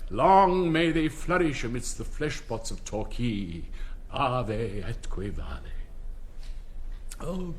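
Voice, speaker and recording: A man's voice: medium pitch, a little hiss or hum. An older man with an English accent playing a pompous, wistful headmaster in a rambling, donnish manner in a stage comedy. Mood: solemn. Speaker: retiring school headmaster. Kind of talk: stage comedy speech-making.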